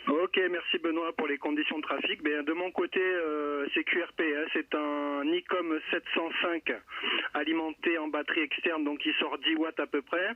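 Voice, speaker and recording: A man's voice: fairly high pitch, little pitch movement, normal speed, no echo. A friendly, courteous man operating a portable amateur radio outdoors, chatting casually into a wireless microphone. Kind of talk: casual radio contact chat. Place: outdoors, portable station on a hilltop. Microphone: wireless Bluetooth microphone. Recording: thin, phone-like band-limited sound.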